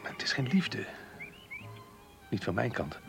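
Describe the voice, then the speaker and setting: An actor playing an earnest, troubled married man in love in a radio drama, speaking in a measured, confessional way. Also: fairly low pitch, big pitch swings, creaky, soft background music, exaggerated voice. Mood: regretful, confessing.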